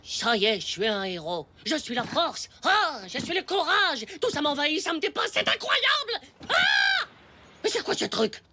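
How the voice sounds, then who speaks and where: A high-pitched, melodramatic ten-year-old boy. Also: sing-song, normal speed, pushed and bright, exaggerated voice. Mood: exalted. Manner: whiny, exclamatory, melodramatic. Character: excitable, dramatic ten-year-old boy cartoon hero. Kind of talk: French animated series dubbing. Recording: music behind the voice.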